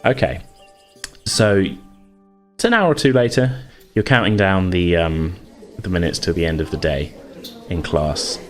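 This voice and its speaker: A man acting as game master, improvising narration for a tabletop game in a halting, conversational way. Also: deep voice, faint music behind.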